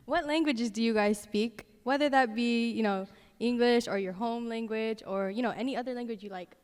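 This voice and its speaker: A woman's voice: on the high side, moderate pace, a little mellow, smooth. A young woman co-hosting a school assembly, asking questions in a rambling way with many fillers. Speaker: student co-host. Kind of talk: asking interview questions on stage. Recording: long hall reverb.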